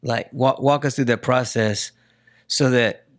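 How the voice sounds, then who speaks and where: A man hosting a podcast in a casual, conversational way, halting and repeating words as he frames his questions and interjects. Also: medium pitch, steady tone. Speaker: podcast host.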